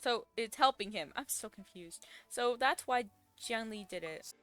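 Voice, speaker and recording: A young woman giving casual, slangy, expressive reaction commentary as she plays a game. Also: on the high side, a little slow, soft background music. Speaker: game streamer.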